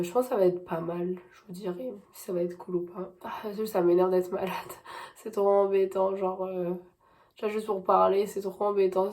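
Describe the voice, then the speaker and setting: A woman's voice: mid-range voice, dark tone. A young woman chatting casually in a vlog diary, congested with a cold, talking in a chatty way with lots of fillers and happy repetition. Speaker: vlogger.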